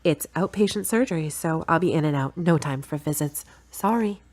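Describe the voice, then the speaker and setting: Female lead, audio drama: frank, stubborn girlfriend with a lowish voice, brisk and a little bossy acted by a woman. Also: a little mellow. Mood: matter-of-fact.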